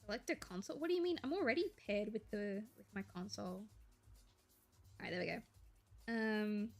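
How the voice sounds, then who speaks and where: A young woman, chatting casually and rambling to viewers on a live stream, with a slight gravel in her voice when she talks quietly. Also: medium pitch, moderate pace, faint music behind. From Australia. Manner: chatty, rambling, laughs easily, thinks aloud.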